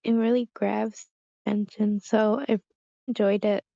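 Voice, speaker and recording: Woman with dull, muffled audio, slightly creaky. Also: mid-range voice, flat delivery, unhurried, dark tone, no room sound. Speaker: workshop participant. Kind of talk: casual chat.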